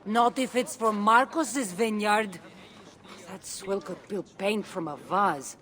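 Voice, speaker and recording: A woman's voice: medium pitch, takes it slowly, a bit bright. An actress playing a seasoned, wry warrior woman in video game dialogue. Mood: relaxed, teasing.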